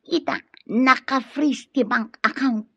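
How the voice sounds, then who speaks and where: A woman's voice: a little high, steady tone, takes it slowly, pushed and bright, husky, no echo, heavily acted. An actress playing a greedy, conniving woman who speaks insistently in an Ilocano radio drama. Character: scheming, greedy woman urging her male accomplice on. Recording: dull lo-fi radio drama sound.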